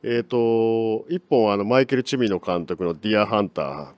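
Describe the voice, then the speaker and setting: A middle-aged man, a guest in a festival interview, talking in a quick, casual way. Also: on the low side, darkish tone, dry recording. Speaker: director guest. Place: small room at a film festival.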